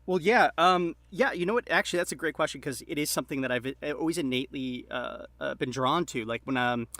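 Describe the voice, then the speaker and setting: Male guest, enthusiastic casual talker, rapid and halting, bright tone, dry sound. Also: a little high, no room sound. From Long Island, New York, USA. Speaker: podcast guest, audio drama creator. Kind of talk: casual chat, reminiscing. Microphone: internet call.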